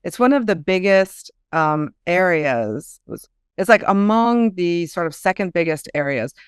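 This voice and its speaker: A woman's voice: lowish voice, animated, a little slow, smooth, dry recording, studio quality. A woman talking casually as a guest author in an interview, thinking aloud in a chatty, hesitant way.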